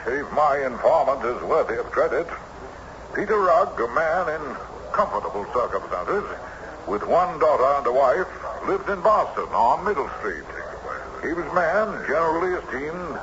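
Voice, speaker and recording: An actor playing a formal period gentleman telling an eerie tale theatrically in an old radio drama. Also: on the high side, very animated, unhurried. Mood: suspenseful. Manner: formal, theatrical, suspenseful storytelling. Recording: noisy, muffled old radio recording.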